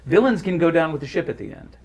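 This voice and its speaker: A male voice actor in relaxed interview chat. His voice sits somewhat high for a man and moves around with animated intonation. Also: fast talker, husky.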